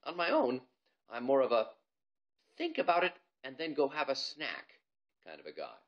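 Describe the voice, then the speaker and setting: A man's voice: mid-range voice, wildly swooping pitch, moderate pace, muffled recording. A man, an author, reading his children's story aloud in a theatrical, comic storytelling manner. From Canada.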